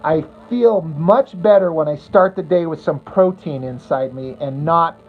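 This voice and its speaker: A woman's voice: sits low, measured pace, breathy edge. A woman livestreaming casually to her viewers, rambling bluntly and crudely as she answers their comments. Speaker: livestreamer chatting with viewers while drawing. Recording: tinny phone-like audio.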